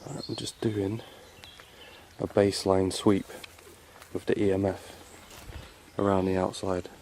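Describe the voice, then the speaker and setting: A man's voice: low voice, flat delivery, measured pace, vocal fry, darkish tone. A man hosting a paranormal investigation, talking casually as he explores and calls out questions. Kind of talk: casual on-location ghost-hunt commentary, calling out questions. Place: outdoors in a rural spot. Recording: noisy outdoor location sound. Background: birds and outdoor ambience.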